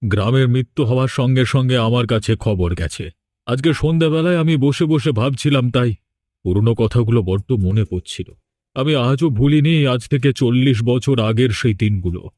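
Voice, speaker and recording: A man's voice: mid-range voice, moderate pace, mellow, close to an everyday voice. A man playing a sombre, middle-aged villager in a horror cartoon, earnest and subdued. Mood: brooding.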